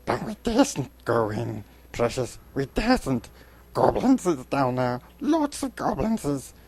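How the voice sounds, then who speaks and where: A wretched storybook cave creature, fearful and full of spite. The voice hisses and whispers in slow, halting, broken-grammar mutters that repeat themselves. Its pitch lurches widely, climbing far up from a natural male register, over faint music. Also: big pitch swings. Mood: fearful, spiteful. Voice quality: hissing, whispery. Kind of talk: dramatic storybook reading.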